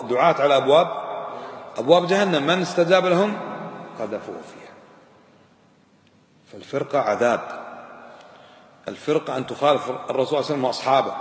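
Fast-talking male preacher in an echoing hall. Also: mid-range voice. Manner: didactic, emphatic, with rhetorical questions and repetition. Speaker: Islamic preacher and teacher. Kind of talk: informal religious lesson, mixing formal and colloquial Arabic. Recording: echoey hall with long reverb.

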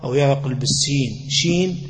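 A man's voice: mid-range voice, normal speed. A man giving an explanatory religious lecture on a classical legal text, repeating phrases for emphasis as he teaches. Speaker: religious teacher of Islamic law.

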